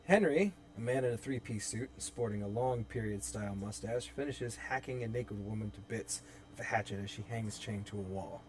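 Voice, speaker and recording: A man's voice: on the low side, moderate pace. A man reading stage directions as the narrator of a horror script in a live table read.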